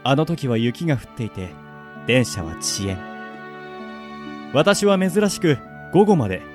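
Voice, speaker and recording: A man's voice: mid-range voice, very animated, measured pace, music in the background. A man playing a pompous, self-adoring legendary love evangelist, grandiose and theatrical, in a comic radio drama. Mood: boastful.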